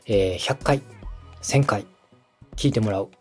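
A man's voice: fairly low pitch, slow pace, darkish tone, soft background music. A man hosting and coaching, explaining things step by step in a polite, instructive way. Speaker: podcast host and coach, a radio director. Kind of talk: structured explanatory coaching on podcast production.

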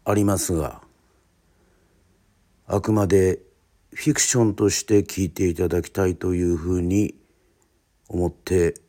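A man from Yoron Island in Japan hosting and narrating, introducing a radio drama in a formal, deliberate announcer-like way with pauses. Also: sits low, mellow.

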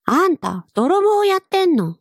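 A woman's voice: very high voice, big pitch swings, unhurried, no room sound, studio quality, pitched above the performer's own voice, exaggerated voice. A woman voicing a cheeky, bossy young witch in an anime-style audio drama, cocky and blunt. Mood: cocky, teasing. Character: cheeky, bossy young witch girl, brash but kind.

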